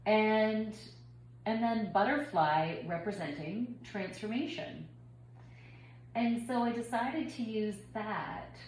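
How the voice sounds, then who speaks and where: A woman's voice: medium pitch, slow, darkish tone. Congested woman in an echoey room. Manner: rambling, personal, frequent ums and restarts. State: slightly congested from a head cold. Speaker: spiritual reader and yoga teacher. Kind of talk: casual spiritual channeling vlog. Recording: echoey room with faint background noise.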